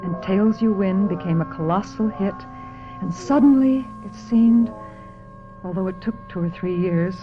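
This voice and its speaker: A woman's voice: mid-range voice, moderate pace, natural voice. Wistful young actress in muffled radio drama, played by a woman. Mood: wistful, reflective. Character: weary, naive young actress narrating her troubled life. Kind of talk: dramatic radio mystery acting with first-person narration. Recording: dull lo-fi radio drama, music behind.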